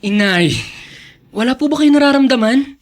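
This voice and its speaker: A man's voice: very high voice, lively intonation, normal speed. A man with a Filipino accent acting a devoted, earnest young student son in a radio drama, tender and respectful. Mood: worried. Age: young adult. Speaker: actor in a drama.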